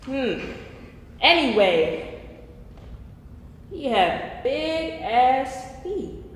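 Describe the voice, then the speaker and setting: Brash, salty best friend played by a woman, high voice, theatrical exclamations and comic storytelling, live in a reverberant hall. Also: sing-song, very slow, heavily acted. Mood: gossipy, comic. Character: brash, foul-mouthed, comic friend telling family stories. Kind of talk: staged play reading, live. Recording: echoey hall, distant ambience, faint noise.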